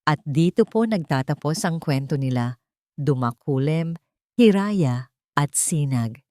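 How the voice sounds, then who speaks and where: Woman narrator for dramatic audio stories: low register, sentimental measured phrasing, very dry sound. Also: dark tone, no room sound. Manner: deliberate, sentimental delivery in short phrases. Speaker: storyteller and channel host.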